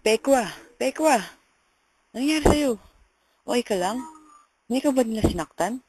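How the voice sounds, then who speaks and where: A woman's voice: on the high side, expressive, normal speed, breathy edge, poor audio. A woman playing a remorseful, lovestruck teenage boy in an amateur radio drama, earnest and melodramatic. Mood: worried. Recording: loud music under the voice.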